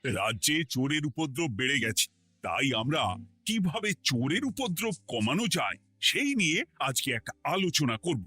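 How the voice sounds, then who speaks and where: A man voicing a flustered, easily swayed king in an animated cartoon, speaking in a fretful, exclamatory way. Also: a little high, normal speed, breathy edge, soft background music, full character voice.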